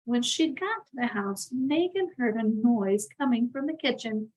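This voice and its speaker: A woman host reading a picture book aloud expressively, talking directly to young listeners in an animated way. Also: a little high, a little slow, poor audio. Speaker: read-aloud channel host.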